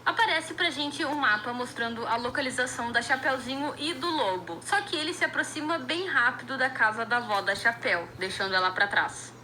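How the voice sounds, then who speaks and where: A woman's voice: high voice, expressive, a little fast, hardly any pauses, background noise. A woman with a Brazilian accent recapping a story casually, with colloquial, wry asides. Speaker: video narrator.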